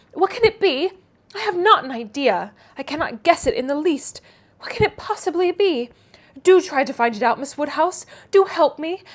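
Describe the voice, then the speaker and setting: A woman acts out a naive young lady from a classic storybook, pitched very high. She is flighty and wondering, and pours out eager, puzzled questions as if she cannot keep up. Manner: breathless stream of anxious questions. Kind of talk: classic novel read aloud.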